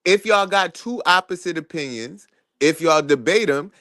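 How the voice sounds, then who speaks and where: A man's voice: a little high, expressive, moderate pace, pushed and bright, no room sound, clean studio sound. A man co-hosting a basketball podcast, chatting casually, teasing the others and cutting in to make his point. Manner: teasing, playful, talks over others. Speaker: podcast co-host. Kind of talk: casual sports banter.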